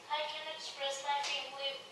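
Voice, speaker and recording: A woman student from the audience speaks up with a high voice, groping for words and correcting herself. She is heard far from the mic in a very echoey room, with clear background noise. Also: flat delivery, normal speed. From United States.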